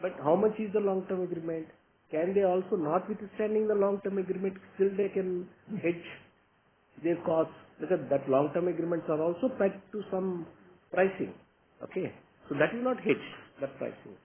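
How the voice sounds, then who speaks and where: A man's voice: high-pitched, normal speed. A man with an Indian accent answering questions as a company executive in an investor meeting, explaining point by point and often checking with a short "okay?". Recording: tinny phone-like line with background noise.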